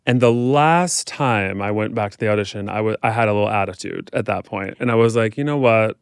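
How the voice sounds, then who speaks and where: Male podcast guest, smooth lowish voice, dry sound. Casual, candid, animated storyteller with slangy phrasing. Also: brisk, no echo. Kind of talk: casual chat, personal storytelling.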